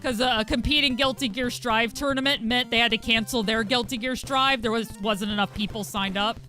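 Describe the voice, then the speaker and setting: A young woman streamer with a husky voice, chatting casually with viewers while gaming and thanking them, with frequent fillers. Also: fairly high pitch, fairly even intonation, fairly quick, pushed and bright, faint music behind.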